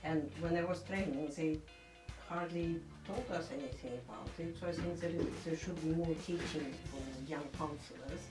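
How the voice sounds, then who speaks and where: Low-voiced woman counsellor, careful speech. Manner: careful, measured speech with pauses. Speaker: counsellor guest. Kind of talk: interview answers. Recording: echoey room with music behind.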